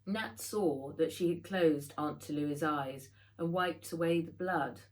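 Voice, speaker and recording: A woman reading a children's adventure novel aloud, in measured, dramatic storytelling. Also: sits low, a little mellow. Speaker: author reading own book aloud. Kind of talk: scripted audiobook narration for children.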